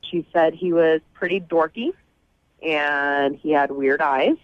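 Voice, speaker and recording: A woman's voice: deep voice, takes it slowly. A woman calling in to a radio show, explaining herself casually and apologetically over the phone. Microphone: phone call to a radio studio. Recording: dull lo-fi phone line.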